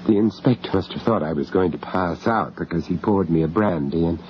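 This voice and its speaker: An actor playing an anxious English gentleman in a radio horror drama, halting and stammering in distress, on an old radio recording. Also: low voice, dull audio, slight background noise, close to an everyday voice. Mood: grim, shaken. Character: shocked, anxious English gentleman, family friend of victims. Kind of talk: radio horror drama acting and first-person narration.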